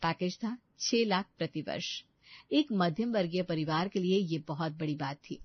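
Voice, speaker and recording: A woman's voice: on the low side, normal speed, no echo, lo-fi sound. A woman reading a scripted story as its narrator.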